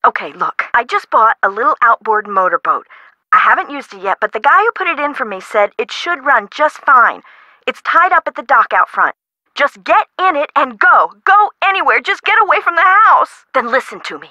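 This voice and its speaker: An expressive, bright-voiced anxious woman homeowner. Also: sits high, normal speed, dry recording, dull audio, exaggerated voice. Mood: panicked. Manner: emotive, expressive, colloquial. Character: frightened, dramatic woman homeowner, chatty and friendly. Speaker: voice actress in an adventure video game. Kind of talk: game character dialogue acting.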